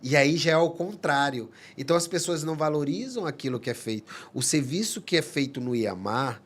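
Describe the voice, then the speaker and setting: A man's voice: a little high, expressive. Earnest man. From Manaus, Amazonas, Brazil. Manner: earnest, halting, many restarts. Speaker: psychologist guest. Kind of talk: casual podcast conversation.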